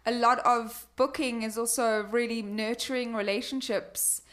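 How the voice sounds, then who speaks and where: A woman's voice: a little high, fairly even intonation, moderate pace, fairly bright tone. A woman with a South African accent moderating a conversational interview, chatty and wordy in her questions.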